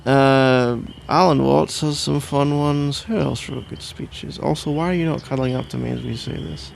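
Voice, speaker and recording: A man's voice: medium pitch, steady tone, normal speed, gravelly, slight background noise. A man playing a sleepy, cuddly husband in an intimate ASMR roleplay, drowsy, affectionate and rambling, with playful melodrama. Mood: coaxing, drowsy.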